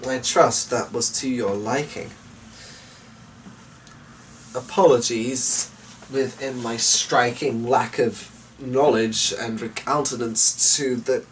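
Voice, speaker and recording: A man delivers theatrical gothic narration in a grandiose manner. His pace is very slow and measured, with a mid-range pitch. He sounds somewhat distant from the microphone. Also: slight background noise. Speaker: poetry reader and host. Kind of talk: theatrical gothic poetry narration, archaic flowery phrasing.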